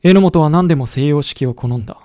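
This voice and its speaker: An actor playing a samurai-era veteran who narrates a historical audio drama in a chatty, wry storytelling manner. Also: male voice, a little high, a little fast, warm tone, no echo, clean studio sound, close to an everyday voice. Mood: explanatory. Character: colloquial samurai-era veteran recounting his comrades' lost war. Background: background music.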